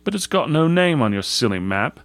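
A man's voice: mid-range voice, big pitch swings, normal speed, a bit bright, no echo, pitched above the performer's own voice. A man voicing a rude, headstrong British schoolboy Scout in a storybook, brusque and dismissive. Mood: defiant. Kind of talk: storybook character voice.

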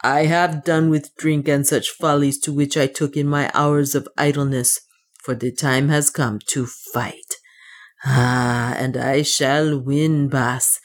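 A woman voicing a loyal, boastful male dwarf warrior in an audiobook, with a grim, emphatic manner. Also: sits low. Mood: grimly determined. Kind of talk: audiobook character dialogue.

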